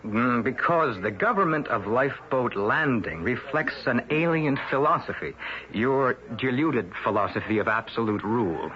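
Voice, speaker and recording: A man's voice: mid-range voice, animated, moderate pace, lightly acted. An actor playing a blunt, defiant, indignant male reporter in a radio drama. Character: indignant, hard-boiled male newspaper reporter. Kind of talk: 1970s radio drama acting. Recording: muffled old radio broadcast.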